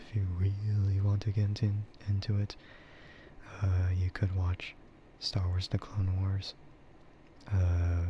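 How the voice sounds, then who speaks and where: A man streaming in a soft ASMR chat style, rambling and easygoing, hesitating and often slipping into a whisper. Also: low voice. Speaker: VTuber streamer. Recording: muffled lo-fi audio with clear noise.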